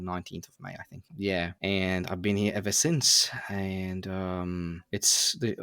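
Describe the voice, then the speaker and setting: A man's voice: deep voice, creaky, no echo, clean studio sound. A man in his twenties from Turkey, a podcast guest talking casually with frequent laughs and fillers. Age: 20s. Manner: relaxed, laughs often, many fillers. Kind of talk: casual conversation.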